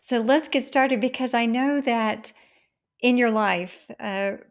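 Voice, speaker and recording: A woman hosting an interview podcast, warmly effusive and asking long, multi-part questions. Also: fairly high pitch, slow. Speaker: podcast host.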